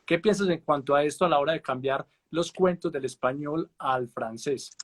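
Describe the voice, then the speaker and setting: A man's voice: fairly high pitch, moderate pace. A man hosting a casual interview, asking long questions with hesitant fillers and tag questions. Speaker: host.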